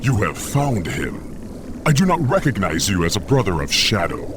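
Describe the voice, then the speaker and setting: An actor playing a haughty evil sorcerer in a video game, imperious and theatrical. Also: male voice, fairly low pitch, very animated, normal speed, background noise. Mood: suspicious, haughty. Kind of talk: video game cutscene acting.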